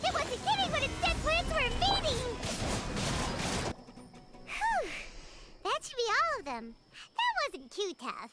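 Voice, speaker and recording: A small, chirpy female sprite with a high, bouncy voice. She keeps naming herself in the third person, and game music plays clearly underneath. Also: sing-song, measured pace, long pauses, full character voice.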